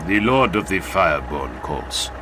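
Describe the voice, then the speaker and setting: A man voicing a respectful superhuman warrior, solemn, deliberate and ceremonious, in an audiobook. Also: sits low, very animated, noisy background, pitched below the performer's own voice. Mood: honoring. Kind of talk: dramatized audiobook. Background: music.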